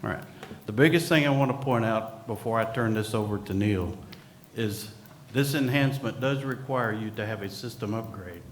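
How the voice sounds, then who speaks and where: A man's voice: on the low side, normal speed, slight background noise. Folksy man at a podium. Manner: relaxed, folksy, with fillers. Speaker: company executive presenting at a conference. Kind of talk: conference presentation. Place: conference hall. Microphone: podium microphone. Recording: echoey conference hall.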